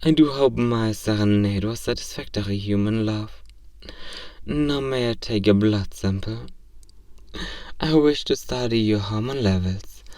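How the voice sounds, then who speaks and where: A man's voice: medium pitch, darkish tone, dry recording, natural voice. Formal, naive robot played by a man, slightly distant. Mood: curious, clinical. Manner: formal, literal, clinical wording, stiltedly polite. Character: earnest, curious, naive robot learning human love. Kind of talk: ASMR role-play comedy audio drama.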